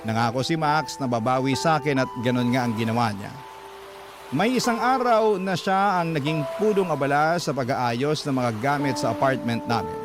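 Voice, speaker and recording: A man's voice: mid-range voice, normal speed. A man with a Filipino accent narrating a letter as a radio host, in a dramatic scripted storytelling style. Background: prominent music bed.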